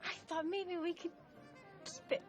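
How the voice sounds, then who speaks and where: An actress playing a sweet, wistful wife who gives in easily, hesitant and pleading, in a comedy film. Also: female voice, very high-pitched, steady tone, unhurried. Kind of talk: live-action comedy film acting. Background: music.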